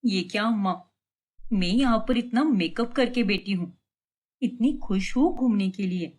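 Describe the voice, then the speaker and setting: A woman's voice: medium pitch, measured pace, a little mellow, close to an everyday voice. A woman voicing a vain, resentful middle-aged mother-in-law in a cartoon family drama, whiny and self-important. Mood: pleading.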